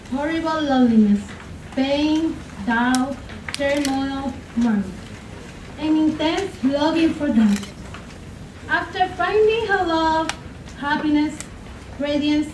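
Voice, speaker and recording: High-voiced woman reading poetry slowly. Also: darkish tone. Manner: slow, deliberate, pausing between words. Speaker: contestant reading a poem. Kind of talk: slow dramatic poetry reading. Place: college classroom, at a podium. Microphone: podium mic, from a far camera. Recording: roomy room, far-off camera, faint noise.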